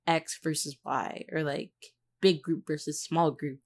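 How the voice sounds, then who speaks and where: Very low-pitched woman in casual discussion. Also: fairly even intonation, a little slow. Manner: informal, reflective, hedging with frequent 'like'. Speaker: voice actor, podcast guest. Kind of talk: casual analytical podcast chat.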